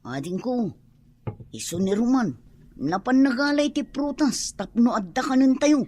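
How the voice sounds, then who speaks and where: A woman's voice: on the high side, expressive, a little slow, darkish tone. An actress playing a humble, respectful young woman of the mountains in an Ilocano radio drama. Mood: deferential, matter-of-fact.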